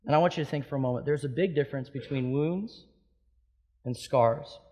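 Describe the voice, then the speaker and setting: A pastor in his thirties from Hawaii, preaching a sermon with impassioned swings between loud emphatic peaks and soft hushed stretches. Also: medium pitch, fairly quick. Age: 30s.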